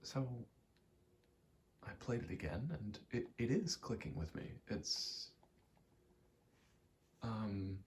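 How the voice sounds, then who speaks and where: A soft-spoken man rambling casually in ASMR style, meandering and murmuring, often dropping toward a whisper. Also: lowish voice, lively intonation, takes it slowly, pauses often. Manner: meandering, self-correcting, casually sweary. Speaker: video maker rambling over gameplay. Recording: faint background noise.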